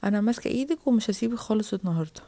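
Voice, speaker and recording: A woman voicing a calm, coaxing mother in Egyptian Arabic, reassuring a small girl in a children's story. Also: medium pitch, rapid-fire, pitched below the performer's own voice. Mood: soothing, coaxing.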